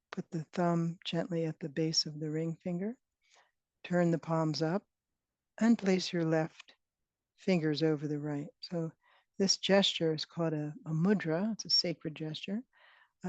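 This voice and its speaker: A woman's voice: sits low, no room sound. Woman guiding meditation, dull dry audio. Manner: gentle, unhurried phrasing with long pauses. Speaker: meditation teacher. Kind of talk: guided meditation. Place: online retreat session, close dry sound. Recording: dull, band-limited online call audio.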